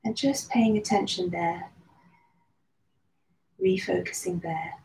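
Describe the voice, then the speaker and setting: Woman guiding a breathing meditation, soft mellow voice, calm and slow, echoey room. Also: on the low side, gravelly. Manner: slow, soothing guidance with long pauses. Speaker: meditation and yoga teacher. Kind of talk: guided meditation. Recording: roomy echo with faint background noise.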